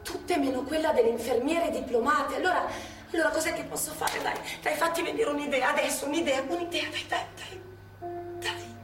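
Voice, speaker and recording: A woman's voice: sits high, a little fast, music in the background, close to an everyday voice. An actress playing an elderly former partisan woman, acting out her wartime memories in a stage monologue. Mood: tense. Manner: animated, chatty, acts out past scenes. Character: elderly woman, former partisan hospital helper, lively storyteller. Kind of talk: stage monologue in a radio play. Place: theatre stage. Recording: echoey stage from far off, faint hum.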